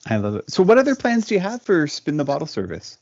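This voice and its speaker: A man's voice: a little high, huge pitch swings, fast, creaky, darkish tone. A man hosting a virtual author interview, attentive and affirming. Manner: attentive, affirming, many "right"s. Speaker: host. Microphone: video call. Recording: muffled video call audio.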